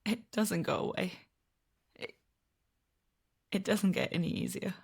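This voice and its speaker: Slow, wounded, blunt survivor. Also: female voice, mid-range voice, lively intonation, gravelly, slightly rough, exaggerated voice. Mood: hurt, vulnerable. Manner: halting, weary, blunt. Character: wounded, guarded, blunt woman survivor of trauma. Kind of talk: naturalistic audio drama acting.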